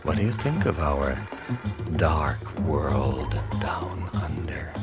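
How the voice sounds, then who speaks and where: An actor playing a suave, roguish gambler and cheat in a radio drama, with an insinuating, knowing delivery. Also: male voice, extremely low pitch, takes it slowly. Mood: smooth, seductive. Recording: music playing behind the voice.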